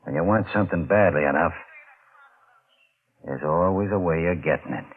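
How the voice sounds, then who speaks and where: An actor playing a brooding, guilt-ridden deep-sea diver in an old-time radio mystery, speaking in a tense, halting way. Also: male voice, faint music behind. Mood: cold, scheming. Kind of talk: old-time radio drama acting with narrated inner monologue. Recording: muffled, noisy old radio recording.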